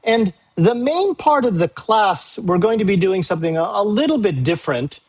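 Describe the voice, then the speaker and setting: A male university English teacher, a native Tagalog speaker, recording a class briefing video; he talks patiently, repeats key dates and fills pauses with "uh". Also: sits high, sing-song, slightly rough. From Philippines. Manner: frequent "uh" fillers.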